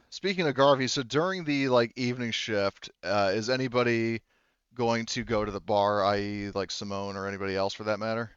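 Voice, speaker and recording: Halting man, smooth voice, slightly dull sound. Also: on the low side, dry recording. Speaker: game master. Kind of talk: casual tabletop roleplay narration and table talk.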